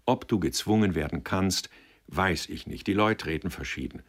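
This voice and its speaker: A man playing a kindly old man who mediates with concern, in a measured, entreating, murmuring manner, in an audiobook. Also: low voice.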